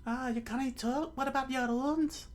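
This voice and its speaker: A man voicing an exasperated, sharp-tempered young woman in falsetto for a story reading. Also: steady tone, normal speed.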